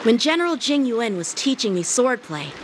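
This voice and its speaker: A woman's voice: a little high, sing-song, normal speed, noisy background. A woman voicing a confident, courteous teenage boy sword master in an anime-style game, speaking politely and formally. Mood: calm, formal.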